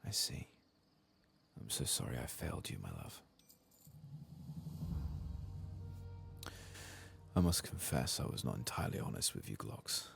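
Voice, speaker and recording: A man voicing a weary, grieving old elf in a fantasy game, soft-spoken, solemn and formal. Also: extremely low pitch, very animated, a little fast, gravelly, slight background noise, exaggerated voice. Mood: remorseful. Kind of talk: soft-spoken game dialogue read-aloud.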